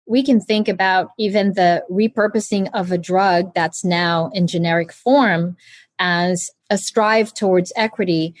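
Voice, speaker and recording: A woman doctor as an interview guest, speaking in a calm, measured, earnest way. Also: on the low side, no room sound. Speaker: guest, oncologist surgeon. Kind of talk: conversational interview.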